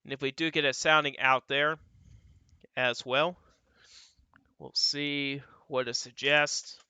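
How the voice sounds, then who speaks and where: A man's voice: medium pitch, fairly even intonation, slow pace, sharp tone, clean tone, no echo. Stuffy young man talking weather models. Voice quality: stuffy, dry-throated. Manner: loose and conversational, repeats filler phrases. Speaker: weather channel host. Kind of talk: casual weather forecast commentary over model maps.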